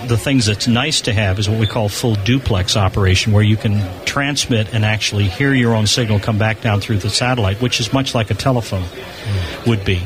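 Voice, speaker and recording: A man's voice: fairly low pitch, brisk, music in the background. A man being interviewed as a guest on a live show, explaining technical things informally from a convention floor booth. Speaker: amateur satellite enthusiast, show guest. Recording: busy crowd noise, live radio broadcast. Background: crowd chatter.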